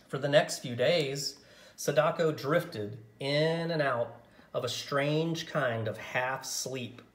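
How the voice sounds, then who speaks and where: A man reading a picture book story aloud in a gentle, measured way with dramatic pauses. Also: medium pitch, roomy sound. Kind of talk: scripted story narration, read aloud.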